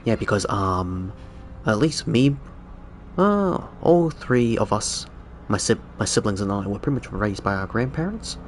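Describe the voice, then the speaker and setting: A man's voice: fairly low pitch, very animated, unhurried, slight background noise. A man whose first language is Cantonese, chatting casually with viewers on a game stream, rambling and correcting himself. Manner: rambling, self-correcting, chuckling. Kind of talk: casual live-stream chat.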